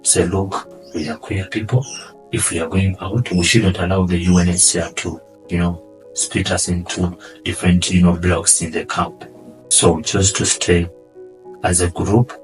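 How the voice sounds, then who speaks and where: A man with a Ugandan accent, answering interview questions earnestly and often trailing off quietly. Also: deep voice, flat delivery, normal speed, far from the mic, faint music behind, poor audio. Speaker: guest, asylum seeker.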